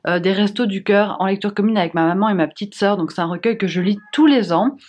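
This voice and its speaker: Young woman vlogging about books, stuffy from a cold, relaxed and chatty, lots of ums. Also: medium pitch, quick pace. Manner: chatty, relaxed, frequent "euh" fillers. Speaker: book vlogger. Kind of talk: casual vlog chat to camera.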